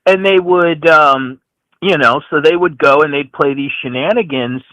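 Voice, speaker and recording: Male phone caller from the American Midwest, sharp, higher-pitched, casual and opinionated political talk. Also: moderate pace, no room sound, muffled recording. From St. Louis, United States. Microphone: phone call.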